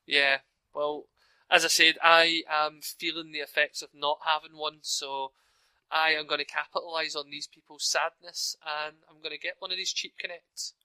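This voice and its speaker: A man's voice: a little high, flat delivery, normal speed, sharp tone, clean tone, no room sound, low-quality recording. A man with a Glaswegian accent chatting casually and jokingly on a podcast call, swearing freely. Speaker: podcast co-host. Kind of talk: casual chat.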